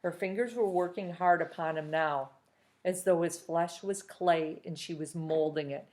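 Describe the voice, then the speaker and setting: A woman reading a novel aloud chapter by chapter, with steady storytelling. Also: lowish voice, measured pace.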